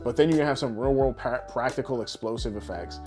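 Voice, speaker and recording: A man co-hosting a casual podcast, rambling through his ideas in an animated way and swearing freely. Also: mid-range voice, fast, soft background music. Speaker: podcast co-host. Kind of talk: casual podcast chat about games and films.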